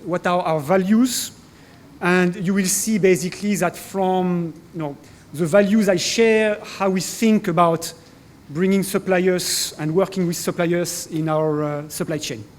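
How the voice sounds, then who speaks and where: A man's voice: high voice, a little slow. French-accented man giving a business talk. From France. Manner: hesitant, many fillers and restarts. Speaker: corporate speaker. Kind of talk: conference presentation. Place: conference hall.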